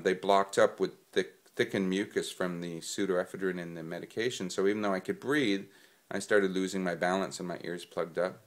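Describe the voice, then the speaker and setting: A low-voiced man speaks to his viewers while still stuffed up from a cold. He rambles a bit, with lots of ums and restarts, in a casual, chatty way. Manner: rambling with many fillers. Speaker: singing vlogger. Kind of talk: casual spoken tips to camera.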